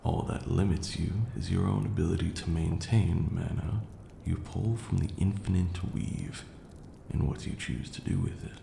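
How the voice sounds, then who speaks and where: Man playing a deep, gravelly vampire lord. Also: steady tone. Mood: lecturing, assured. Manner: measured, formal archaic diction, condescending yet doting. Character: ancient vampire lord, male, haughty yet tender mentor. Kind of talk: slow intimate ASMR roleplay acting. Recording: roomy echo, faint background noise.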